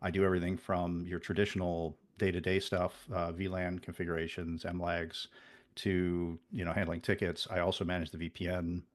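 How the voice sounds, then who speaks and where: A man in his fifties with a deep, low voice, originally from the Netherlands, chatting as a podcast guest. He rambles through his stories with frequent restarts and wry asides about himself. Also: level tone, normal speed. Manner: rambling, many restarts and self-deprecating asides. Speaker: podcast guest, network engineer. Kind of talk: casual interview chat.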